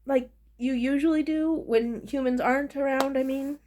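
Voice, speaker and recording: A woman's voice: high voice, steady tone, normal speed, darkish tone, voice pushed up, natural voice. A woman voicing a kindly, awkward male castle master who speaks hesitantly and reassuringly in a fantasy story. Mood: questioning.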